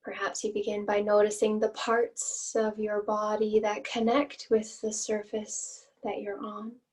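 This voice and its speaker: A woman leading a guided meditation as an instructor, with gentle, inviting phrasing and long pauses, recorded at some distance from the mic. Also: medium pitch, completely flat delivery.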